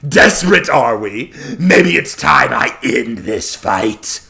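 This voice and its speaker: A man's voice: medium pitch, lively intonation, slow, creaky, sharp tone, heavily acted. A man voicing a gleeful, sadistic villain god in a dramatic story reading, theatrical, gloating and mocking. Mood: mocking, menacing. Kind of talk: dramatic audiobook character voice.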